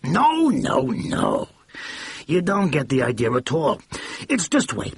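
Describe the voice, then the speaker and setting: Man voicing a blustering producer, excitable, raspy, swooping pitch. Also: slow pace, no room sound, full character voice. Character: short fat brash cigar-smoking film producer.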